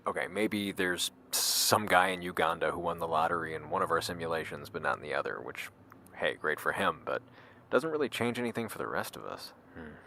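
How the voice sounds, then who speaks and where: A man's voice: deep voice, lively intonation, a little fast, vocal fry, bright, forceful tone, slight background noise, full character voice. An actor playing an easygoing, wry man in informal, slightly hesitant audio drama conversation. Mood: casual, wry. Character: easygoing, slacker-ish man meeting his identical double.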